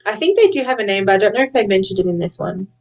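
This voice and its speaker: A woman's voice: mid-range voice, lively intonation, very fast, gravelly, a little mellow. A woman co-hosting a book club, chatting casually about novels and often giggling as she talks. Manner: giggly, often laughing while talking.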